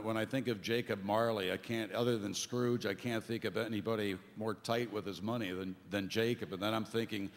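A middle-aged man hosting an event, giving informal remarks from a stage into a stand microphone. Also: on the low side. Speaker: event host. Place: theatre stage before an audience. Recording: echoey hall with audience noise.

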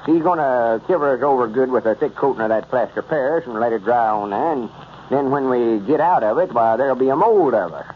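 A man's voice: medium pitch, slight background noise, close to an everyday voice. A man playing a boastful, scheming rural storekeeper with a self-important country drawl, in a 1930s radio comedy. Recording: muffled old radio transcription.

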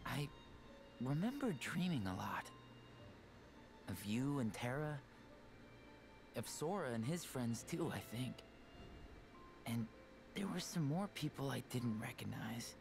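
An actor playing an earnest teenage boy hero in a video game, gentle, soft and sincere. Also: medium pitch, big pitch swings, slow, vocal fry, long pauses, soft background music, exaggerated voice. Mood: wistful.

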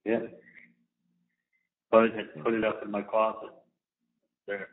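A man chatting casually on a phone call in a loose, halting way. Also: fairly low pitch, monotone. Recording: tinny, radio-like jail phone line.

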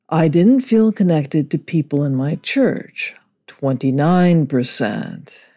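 A man reading a scripted political commentary in a measured, indignant way. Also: fairly high pitch, darkish tone.

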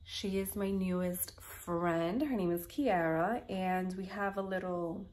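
A woman's voice: fairly low pitch, fairly even intonation, slow, darkish tone. Chatty young New woman. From Queens, New York, United States. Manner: informal and chatty, slangy. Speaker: lifestyle vlogger. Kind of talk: casual chat to camera.

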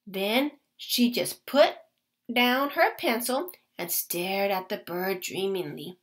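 A woman teacher reading a children's picture book aloud to her class. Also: medium pitch. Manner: slow, expressive storytelling.

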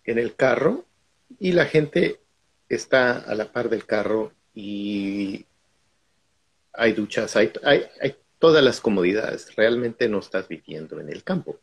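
A man's voice: lowish voice, animated, slow pace, creaky, breathy edge. A middle-aged man from Guatemala, a guest on a video call, telling stories from his own life in a reflective way. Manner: reflective, storytelling. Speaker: guest speaker.

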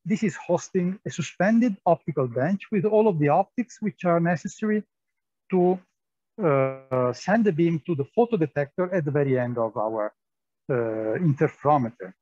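Man giving a halting lab tour. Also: on the high side, animated, a little mellow, muffled recording. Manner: halting, many fillers and repeated words. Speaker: tour guide at a physics lab. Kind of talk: guided tour explanation. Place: large indoor lab hall.

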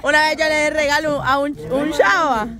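High-voiced teasing Salvadoran woman, loud crowd. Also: fairly even intonation, normal speed. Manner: teasing, playful. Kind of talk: casual outdoor group chat. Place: outdoors in a park.

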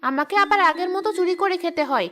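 A woman's voice: very high-pitched, fast, a bit bright. A woman voicing a brash, greedy village ghost in a cartoon, with a blunt, grumbling, boastful manner. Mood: bitter, self-pitying. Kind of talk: animated cartoon dialogue.

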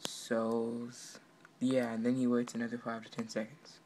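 Casual male tutorial narrator, halting and offhand, little pitch movement, faint background noise. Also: completely flat delivery, darkish tone. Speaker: amateur game developer. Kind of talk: casual screen walkthrough, explaining his project. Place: indoors at a computer. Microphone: handheld camera pointed at monitor. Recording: noisy amateur room recording.

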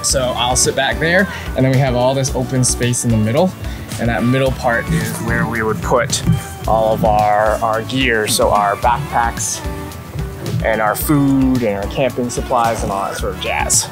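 A man's voice: mid-range voice, steady tone, measured pace. A young man talking casually on an outdoor camping vlog, explaining his gear step by step. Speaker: vlogger. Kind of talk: casual travel vlog narration. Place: outdoors by a lake. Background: background music.

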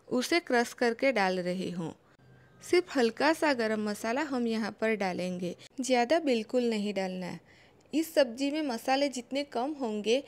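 A young woman giving a calm, step-by-step home cooking voice-over as a channel host. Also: a little high. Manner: calm, instructive, homely guidance.

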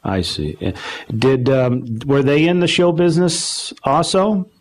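A man with a mid-pitched voice conducts a live interview. He asks his questions conversationally and somewhat haltingly, as if working from notes. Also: sing-song. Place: club meeting before an audience.

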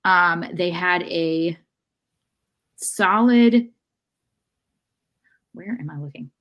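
A woman's voice: on the low side, drawn-out words, highs cut off. A woman in her thirties co-hosting a casual sports discussion over a video call, with a dry sense of humor. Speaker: podcast co-host and sports analyst. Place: room at home, on a video call.

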